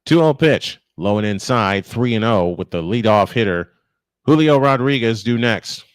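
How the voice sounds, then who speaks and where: A man's voice: lowish voice, lively intonation, normal speed, clear, resonant tone, dry recording. A man calling a baseball game live as play-by-play announcer, with conversational, opinionated asides. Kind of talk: live sports commentary.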